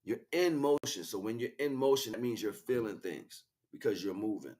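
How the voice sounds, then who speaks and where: A man's voice: lowish voice, expressive, normal speed, darkish tone. A man improvising a spiritual lecture as a teacher, speaking emphatically, repeating key words and checking in with rhetorical questions. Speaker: spiritual teacher and livestream host.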